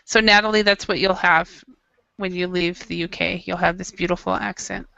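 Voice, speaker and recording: A woman teacher runs a quiz with her class and reads an article aloud, chatty and encouraging. Her tone is bright with a gravelly fry. The recording is dry and a little muffled. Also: fairly low pitch, steady tone, moderate pace, no echo. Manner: chatty, encouraging, laughs easily. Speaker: English teacher.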